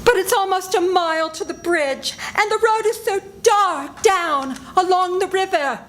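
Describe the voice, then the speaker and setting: A woman's voice: very high voice, a little slow, a bit bright. An older woman reading the part of a panicky, pleading woman hunted by a killer in a live old-time radio play. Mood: frightened. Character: terrified woman targeted by a killer. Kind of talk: 1940s radio drama reading. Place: senior center event room.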